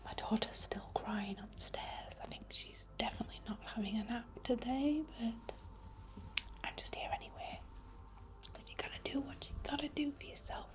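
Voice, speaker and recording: A woman with a Northern English accent speaking in a breathy whisper, gentle and unhurried, in the reassuring style of spiritual ASMR. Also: fairly high pitch, poor audio. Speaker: ASMR creator and witch.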